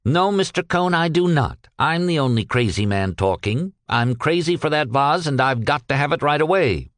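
A man voicing a desperate young ex-soldier who pleads and shouts on the phone in a storybook reading. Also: expressive, moderate pace, dry recording, clean studio sound. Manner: shrill, pleading, exasperated.

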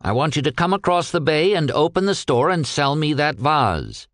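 A man voicing a desperate young ex-soldier who pleads and shouts on the phone in a storybook reading. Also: big pitch swings, moderate pace, dry recording, studio quality. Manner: shrill, pleading, exasperated.

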